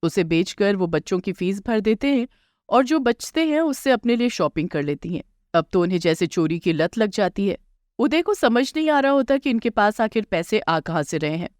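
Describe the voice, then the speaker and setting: A woman narrates a scripted moral story. Her pitch is average for a woman but moves in an animated, expressive way. The recording is dry, with no room echo. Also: moderate pace.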